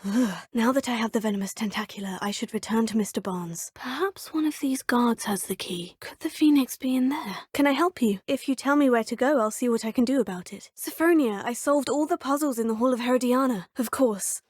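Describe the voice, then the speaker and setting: A courteous young witch student speaks with formal, polished phrasing. Her voice sits a little high and carries a soft, breathy edge. The close studio recording is dry, with no room sound. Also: brisk, no room sound. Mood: polite, curious. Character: young female witch student, curious and polite. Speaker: player character. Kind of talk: video game voice clips. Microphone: close studio mic.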